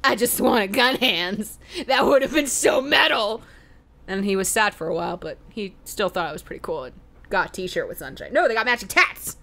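A woman's voice: medium pitch, expressive, moderate pace, pushed and bright. A woman telling a comedic story of a game session, playful and profane, acting out the quotes as she goes. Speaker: storyteller, let's play host. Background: background music.

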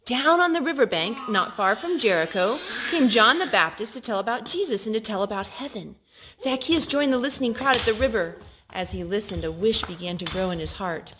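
A woman reading a picture book aloud to a child in an expressive way, pausing to ask questions. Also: medium pitch, creaky, noisy background. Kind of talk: storytime read-aloud. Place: living room indoors at a couch.

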